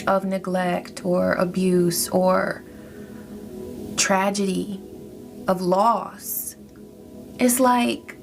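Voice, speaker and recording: A woman's voice: medium pitch, flat delivery, drawn-out words, creaky, pauses often. A woman giving a spiritual tarot reading, speaking in a soothing, affirming way to her listener. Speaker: tarot reader. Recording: music playing behind.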